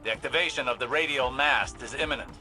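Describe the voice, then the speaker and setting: An actor playing a grave, formal sci-fi strategist in a game cutscene, speaking with urgency. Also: male voice, medium pitch, fast talker, over loud music, natural voice. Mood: grave, urgent. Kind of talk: video game cutscene acting.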